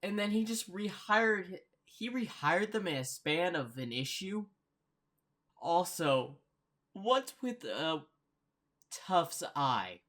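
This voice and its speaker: A man's voice: sits high, very animated, slow, a bit bright. A man hosting a casual reaction commentary, thinking aloud and often repeating and rephrasing himself. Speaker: reaction host.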